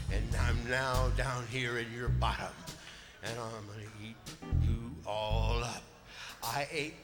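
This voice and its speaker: A man voicing a huge, menacing bear bully, boasting slowly and deliberately in a theatrical storytelling style. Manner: slow, deliberate, booming boasts. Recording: long hall reverb, loud music behind.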